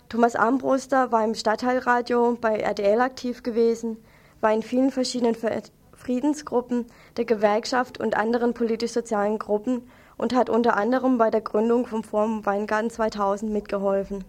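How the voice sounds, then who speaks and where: A woman with a slightly high voice reads the news on local radio. She works from a script as the presenter. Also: flat delivery, brisk, a little mellow.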